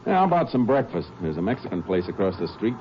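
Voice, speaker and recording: Radio drama role: laconic American Texas Ranger, deep voice, steady, noisy vintage recording. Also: male voice, fast talker, highs cut off. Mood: calm, deliberate. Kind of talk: 1950s radio drama acting.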